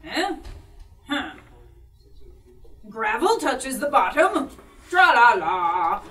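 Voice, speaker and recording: A woman's voice: fairly high pitch, sing-song, extremely slow, a bit bright, soft background music, full character voice. A woman voicing a cheeky rustic simpleton in a shadow puppet show, folksy and sing-song. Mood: cheeky teasing. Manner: folksy dialect, sing-song tra-la-las, evasive. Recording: echoey live room, distant mic.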